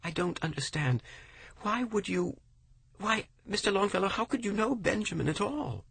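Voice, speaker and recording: A man's voice: high voice, animated, normal speed, gravelly, a bit bright, breathy edge, no room sound, voice pushed up, full character voice. A man playing a tearful, pleading wife. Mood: distraught. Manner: pleading, sorrowful. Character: distraught, tearful wife, middle-aged woman.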